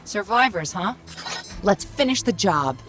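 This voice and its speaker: A woman's voice: mid-range voice, lively intonation, measured pace, natural voice. A woman voicing a small, witty and cocky female AI companion in a video game, wry, self-assured and conversational. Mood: determined. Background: loud cinematic game music.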